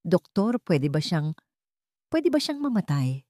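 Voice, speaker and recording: A woman's voice: fairly low pitch, sing-song, unhurried, warm tone, dry recording, clean studio sound. A woman voicing a desperate, proud wealthy father in an audio story, anxious and guarded. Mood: afraid. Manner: hesitant, guarded.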